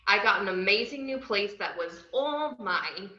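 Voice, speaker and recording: A roomy, slightly dull recording of a brash, unhinged young antiheroine, played by a woman in a table read. She tells her own story in a bright, cheeky voice that swoops all over. It is bubbly and expressive. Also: mid-range voice, a little slow. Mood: wry. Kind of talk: first-person scripted narration in an amateur table read. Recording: roomy, muffled online call audio.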